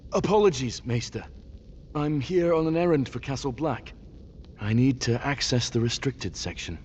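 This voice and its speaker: An actor playing a terse, determined errand-runner in a video game, direct and wry. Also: male voice, medium pitch, lively intonation, moderate pace, darkish tone, slight background noise, natural voice. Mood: businesslike. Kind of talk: video game cutscene acting. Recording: slightly muffled audio.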